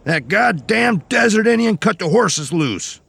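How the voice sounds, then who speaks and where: An actor playing a cynical, foul-mouthed outlaw with rough frontier speech in a western audio horror drama. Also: male voice, high-pitched, big pitch swings, measured pace, a bit bright, heavily acted. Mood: furious cursing.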